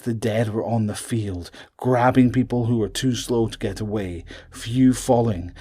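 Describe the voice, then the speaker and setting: A man narrating a scripted horror story in a measured, suspenseful way, trailing off at the ends of phrases. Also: fairly low pitch, darkish tone.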